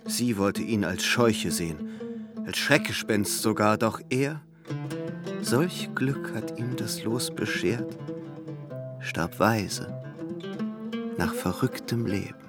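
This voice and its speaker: A male actor in a radio play voicing a man who recites a solemn rhymed epitaph in a measured, ceremonious way. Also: lowish voice, animated, over loud music. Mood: solemn tribute. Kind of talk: literary radio drama, verse recitation.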